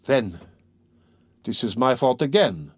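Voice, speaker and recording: A man's voice: mid-range voice, lively intonation, brisk, no echo, studio quality, voice pushed up, lightly acted. A man reading aloud, playing a pompous, touchy suitor who speaks stiffly and formally. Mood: aggrieved. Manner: huffy, sententious, stiffly formal. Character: pompous, touchy middle-aged male suitor.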